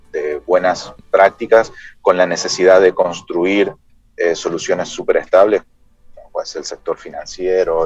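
Male interview guest, deep and halting, explanatory, on a dull dry connection with faint music. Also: a little mellow. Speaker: interview guest, company CEO.

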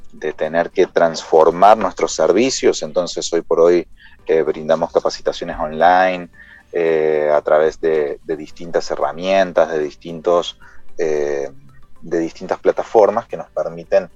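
Male interview guest, deep and halting, explanatory, on a dull dry connection with faint music. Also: steady tone, a little mellow, no room sound. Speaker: interview guest, company CEO.

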